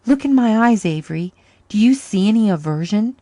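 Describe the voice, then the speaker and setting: A woman's voice: lowish voice, fairly even intonation, normal speed, mellow, no echo, close to an everyday voice. A woman voicing a grown man, a doctor who is grave and stern but tender, speaking earnestly.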